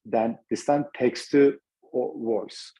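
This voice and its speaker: A man's voice: mid-range voice, slow pace, mellow, slightly rough, rough recording. A middle-aged man with a Turkish accent giving a webinar talk, thinking aloud with many restarts. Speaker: startup founder presenting.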